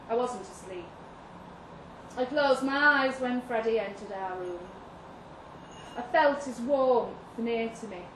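A woman reading a literary short story aloud at a live event, in a measured, deliberate first-person narration. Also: fairly high pitch. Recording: roomy, distant mic with audible noise.

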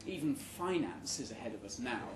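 A man reading a short story aloud at a live event with a deadpan, measured comic delivery. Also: medium pitch. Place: indoor room at a live literary event. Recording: roomy live venue recording with background noise.

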